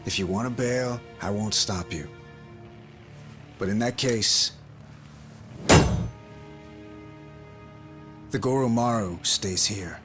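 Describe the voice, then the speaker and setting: An actor playing a steady, pragmatic leader in a video game, earnest and firm, in clipped phrases. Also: male voice, fairly low pitch, takes it slowly, pauses often, music in the background. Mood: firm, urging. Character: steady, pragmatic male leader briefing his allies. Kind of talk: dramatic video game cutscene acting.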